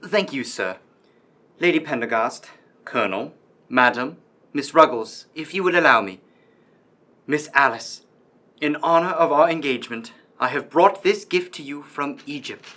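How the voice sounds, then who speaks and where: Man as bright-voiced, earnest young lieutenant suitor. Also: a little high, lively intonation, moderate pace. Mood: proud, formal. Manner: formal, modest. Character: earnest, courteous army lieutenant, newly engaged suitor. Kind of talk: radio play acting.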